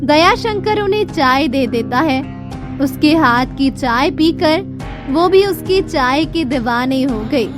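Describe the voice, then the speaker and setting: Female storyteller for children's tale: very high voice, slow deliberate delivery, music underneath. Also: animated. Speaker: narrator. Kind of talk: scripted storytelling narration for a children's moral tale.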